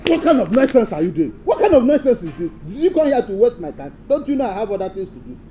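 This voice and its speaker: A man's voice: high-pitched, big pitch swings, quick pace, warm tone, faint music behind. A man with a Nigerian accent acting an impatient, strict dance teacher who scolds with exasperated questions in a comedy drama. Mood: irritated. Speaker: actor.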